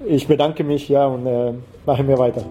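A man from Costa Rica, a native Spanish speaker with a Latin American accent, answering interview questions as an activist in a halting way, searching for words. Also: mid-range voice, steady tone, highs cut off, loud music behind the voice.

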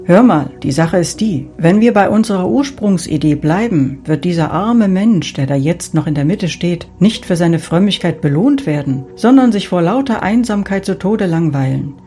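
Lady doing old saint peter in an audiobook, bossy gatekeeper voice, loud and a bit high, soft music under it. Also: expressive, lightly acted. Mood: confiding. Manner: loud, brisk announcing. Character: Saint Peter, bustling older male gatekeeper.

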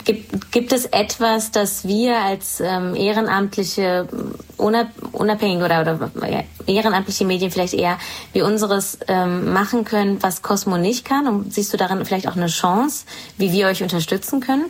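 A woman interviewing a guest for a volunteer community radio podcast, building her questions in a halting, searching way. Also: mid-range voice. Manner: halting, searching phrasing while asking questions.

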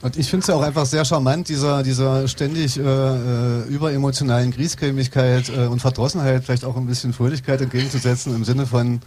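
A man's voice: medium pitch, little pitch movement, brisk, few pauses, darkish tone. A man casually hosting a live discussion, with wordy, roundabout phrasing. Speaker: host of a live radio discussion. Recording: some background noise, live event ambience.

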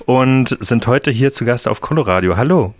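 Radio interviewer, male, average pitch, informal chat style, close dry sound. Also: expressive, normal speed, no room sound. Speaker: radio host interviewing guests.

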